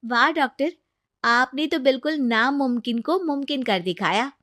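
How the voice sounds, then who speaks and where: A woman's voice: sits high, a little slow, pushed and bright, voice pushed up. A woman voicing a dutiful, deferential female hospital nurse in a cartoon story. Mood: amazed.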